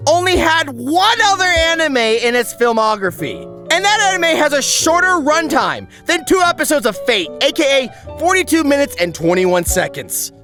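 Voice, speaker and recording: High, bright-voiced video essayist, very lively, sarcastic and sweary, music underneath. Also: normal speed, hardly any pauses. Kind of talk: energetic scripted video essay narration with sponsor read. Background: background music.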